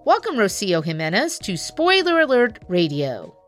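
A woman hosting a podcast, reading a formal scripted introduction and asking interview questions in a measured way. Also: mid-range voice, wildly swooping pitch, soft background music. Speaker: podcast host.

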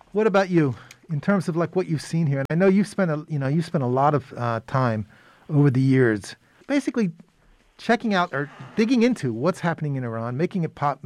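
A male host asks long, meandering questions, circling back and stumbling over his words. His voice sits somewhat high. The sound is dry and close. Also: darkish tone, dry recording. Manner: rambling, halting questions full of fillers. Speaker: interview host. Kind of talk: conversational interviewing.

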